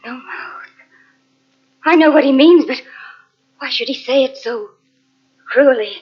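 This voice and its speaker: A woman's voice: high voice, unhurried, a little mellow, slightly rough. An actress playing a terrified mute young woman whose racing thoughts are spoken in breathless, clipped, repeated phrases in a radio suspense drama. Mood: frightened, bewildered. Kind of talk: old-time radio suspense drama acting. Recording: muffled old radio tape, faint music behind.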